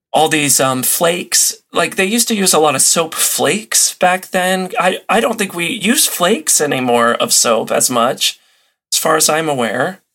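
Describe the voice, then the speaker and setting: A man speaking as a podcast guest, explaining in a casual, animated and jokey conversational way. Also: high-pitched. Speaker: podcast guest, old-time radio enthusiast.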